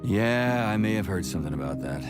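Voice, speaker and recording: An actor playing a hot-tempered, bitter soldier with lost memories in a video game, gruff, blunt and sardonic. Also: male voice, lowish voice, expressive, fairly quick, natural voice. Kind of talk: video game character acting. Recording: music behind the voice.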